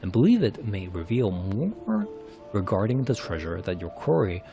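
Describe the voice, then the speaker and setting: A man's voice: fairly low pitch, big pitch swings, normal speed, creaky, music in the background. A man voicing a prim, worried scholarly official in a fantasy game, with a stiff, wordy, formal manner. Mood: guarded, formal. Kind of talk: narrated fantasy game dialogue.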